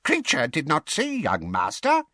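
A man voicing a muttering, servile and spiteful old male house elf, hoarse and croaky, in an audiobook. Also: a little high, huge pitch swings, a little slow, pushed and bright, no room sound, clean studio sound, voice pushed up, exaggerated voice. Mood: servile, evasive. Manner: muttering, self-pitying, talks of himself in third person.

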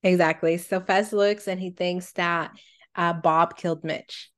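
A woman with a fairly low voice talks as a podcast co-host. Her delivery is casual and conversational, as if she is thinking aloud while she explains things. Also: fairly even intonation, measured pace.

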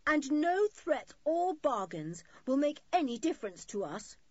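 A bold schoolboy leader from a children's adventure story, performed by a woman. He speaks forthrightly with lively swings of pitch and a husky edge. The sound is a little dull. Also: moderate pace, dry recording. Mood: defiant. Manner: indignant, forthright.

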